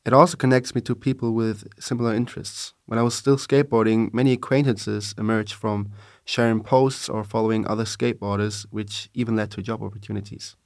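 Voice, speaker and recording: A young man in his twenties speaks with a slightly low voice. He talks in a steady, reflective way about himself as a student co-host on a podcast. The sound is dry and close. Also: fairly quick, no echo. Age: 20s. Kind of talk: casual podcast discussion.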